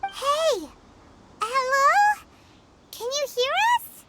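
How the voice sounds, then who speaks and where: A woman voicing a tiny, childlike, excitable fairy companion in a video game, chirpy and full of exclamations. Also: very high-pitched, drawn-out words, heavily acted. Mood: urgent, excited. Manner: chirpy, exclamatory, refers to self in third person.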